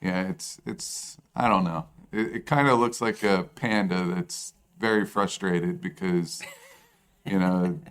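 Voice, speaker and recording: A man co-hosting a podcast in casual chat, with a low bassy voice and a self-deprecating, rambling way of talking. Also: fairly even intonation, takes it slowly, a bit bright, clean tone. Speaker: podcast co-host.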